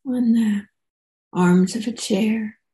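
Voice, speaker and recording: A woman teacher leading a guided meditation in a soft, relaxed way, leaving gentle pauses. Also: fairly high pitch.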